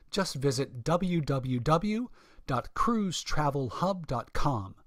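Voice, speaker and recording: A man working as sponsor announcer, giving a scripted, measured promotional radio ad read. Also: mid-range voice, no echo, studio quality.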